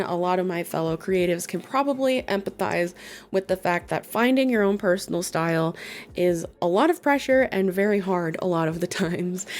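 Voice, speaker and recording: A woman's voice: mid-range voice, darkish tone. Chatty young woman, sick and phlegmy. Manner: chatty, filler-heavy, giggly. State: sick and losing her voice, phlegmy. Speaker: YouTuber. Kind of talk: casual commentary vlog. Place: home desk setup.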